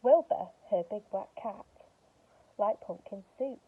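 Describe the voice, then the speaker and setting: A woman's voice: medium pitch, slow pace, slightly rough, narrow, tinny audio. A woman speaking to young children as a teacher and story reader, explaining simply, with questions and emphasis. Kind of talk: speaking to young children, reading a picture book.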